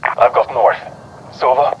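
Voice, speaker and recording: An actor playing a focused special-operations agent in a game, speaking in terse tactical radio calls. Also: male voice, takes it slowly, lightly acted. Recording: muffled, noisy radio transmission.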